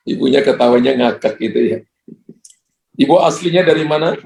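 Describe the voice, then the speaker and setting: A man's voice: mid-range voice, moderate pace, creaky, a little mellow, roomy sound, rough recording. A man, a pastor, praying prophetic words over callers and chatting with them in a joking, pastoral way. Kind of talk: prophetic prayer and ministry to callers.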